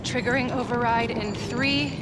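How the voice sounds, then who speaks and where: A woman's voice: fairly high pitch, fairly even intonation, normal speed, very noisy, close to an everyday voice. An actress playing an intense, devoted young female engineer in a dramatic video game scene. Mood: tense, focused. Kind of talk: dramatic game cutscene acting.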